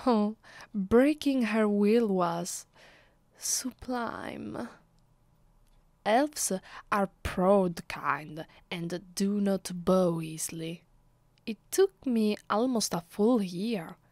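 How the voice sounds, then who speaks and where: A woman with an Italian accent voicing a haughty, sadistic vampire mistress in a close-miked ASMR roleplay, soft and breathy, often dropping to a whisper, commanding and deliberate. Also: mid-range voice, no echo. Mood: gloating. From Italy. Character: haughty, sadistic female vampire mistress savoring her power. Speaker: voice actress. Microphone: close microphone.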